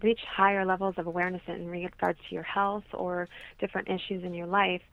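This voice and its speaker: A woman's voice comes through a thin, phone-like line. It sits slightly low and has a noticeable fry. She speaks as a holistic healer, explaining her answers to an interviewer. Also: flat delivery, brisk, no room sound. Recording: muffled, narrow phone-like line.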